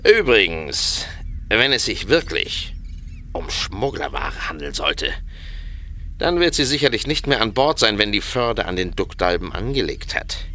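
Animated, bright-voiced shrewd man played by actor. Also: lowish voice, measured pace, a little hiss or hum, exaggerated voice. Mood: matter-of-fact. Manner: animated, knowing. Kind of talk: radio drama acting.

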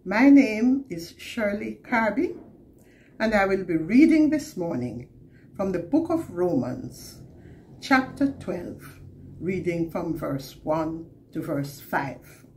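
An older woman with a Jamaican accent reads scripture to camera in a measured, deliberate way. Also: mid-range voice, sing-song, darkish tone. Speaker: scripture reader. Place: plain indoor room, seated.

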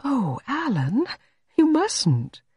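A reader voicing an anxious, meek woman in an audiobook story, halting and apologetic as she tries to please. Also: medium pitch, sing-song, a little mellow, breathy edge, no room sound, studio quality, pitched above the performer's own voice, full character voice.

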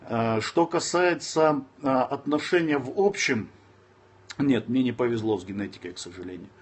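A man's voice: mid-range voice, moderate pace, breathy edge. A man in his thirties from Dagestan, answering viewers' questions on a casual livestream from his phone, indoors. He is blunt and curses freely. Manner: blunt, curses and snaps at trolls between calm advice. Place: indoors, sitting in a room. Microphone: phone camera close up.

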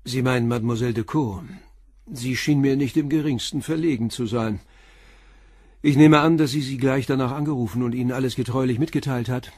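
An actor playing an unflappable police inspector in a crime radio play, putting terse, calm, probing questions. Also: male voice, medium pitch, brisk, dry recording, studio quality, lightly acted. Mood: dry, insistent. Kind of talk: German radio crime drama acting.

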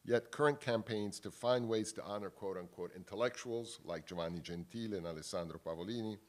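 A man, giving a measured academic panel talk at a table microphone in a wood-panelled hall, often restarting words. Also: lowish voice. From Italy. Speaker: panel speaker. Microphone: table microphone on stand.

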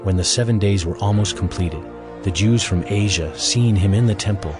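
Low-voiced male Bible narrator. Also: normal speed, music in the background. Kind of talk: scripted narration of dramatized audio Bible.

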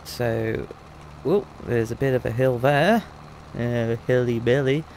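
A man's voice: mid-range voice, slow pace, a little mellow, slight background noise, low-quality recording. A young man in casual let's-play commentary, chatty and rambling, his voice still a bit rusty after losing it. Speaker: gaming YouTuber.

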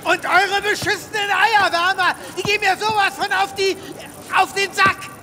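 A man's voice: very high-pitched, flat delivery, moderate pace, sharp tone, a little hiss or hum, full character voice. A man playing a vain, pompous space veterinarian in a comic audio drama, with melodramatic delivery. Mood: furious.

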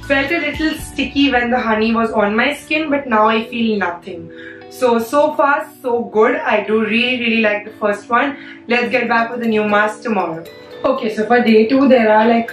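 A hoarse young woman, chatting casually as a beauty vlogger, full of "like" fillers. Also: a little high. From India. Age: young adult. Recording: echoey room, a bit far from mic, faint music.

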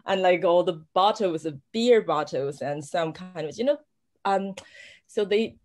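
A woman's voice: fairly low pitch, unhurried, darkish tone. Chatty woman. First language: Chinese. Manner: rambling, many fillers and restarts, laughs often. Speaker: author guest. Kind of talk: casual conversation.

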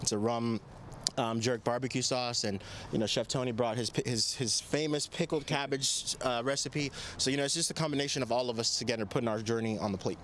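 A man from Barbados talking quickly and earnestly as a chef, with plenty of ums. Faint open-air ambience surrounds him on the outdoor set. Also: medium pitch, a bit bright, slight background noise. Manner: earnest, with many fillers. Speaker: chef, guest. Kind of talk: live TV interview. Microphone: handheld microphone.

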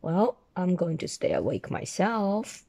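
Woman doing little girl pig, storybook, curious, eager, hoarse, slow-paced. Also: lowish voice, wildly swooping pitch, warm tone, heavily acted. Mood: determined. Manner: inquisitive, eager.

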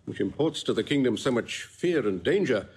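An actor playing a tender, eloquent courtly gentleman in a classical audio drama, speaking with earnest lyricism. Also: medium pitch, expressive, normal speed, husky. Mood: tender admiration. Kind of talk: classical stage-style audio drama acting.

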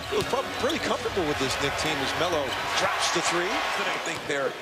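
A man giving quick, conversational basketball analysis. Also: sits high, very animated, loud music behind the voice. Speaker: color commentator. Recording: broadcast with loud background noise.

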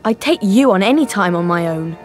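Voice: mid-range voice, lively intonation, a little fast, over music, close to an everyday voice. An actor playing an arrogant British schoolboy wizard in a video game, taunting and haughty. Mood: challenging. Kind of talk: video game cutscene acting.

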